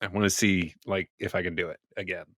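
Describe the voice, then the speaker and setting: A man's voice: low voice, fairly even intonation, fast, a bit bright. A man playing a tabletop roleplaying game, casually chatting and saying what his character does. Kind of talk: casual chat, narrating his character's actions.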